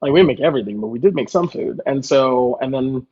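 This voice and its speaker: A man's voice: mid-range voice, expressive, quick pace, muffled recording. A man chatting casually as a guest chef, telling stories in a joking, self-deprecating way. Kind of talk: casual conversational storytelling.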